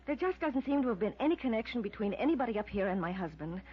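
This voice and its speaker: A woman's voice: a little high, sing-song, fast talker. An American woman acting a polite, bewildered, grieving widow in a 1950s radio drama. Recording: dull vintage radio recording.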